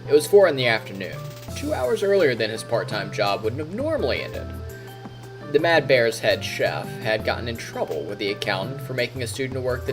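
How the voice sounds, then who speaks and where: A man streaming, reading narration from a visual novel aloud and adding casual comments. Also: medium pitch. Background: game music.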